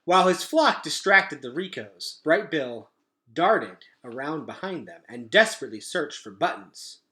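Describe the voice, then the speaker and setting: Bright, forceful male storyteller. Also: on the high side, very animated, unhurried. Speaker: read-aloud storyteller for children. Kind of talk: expressive scripted storybook narration.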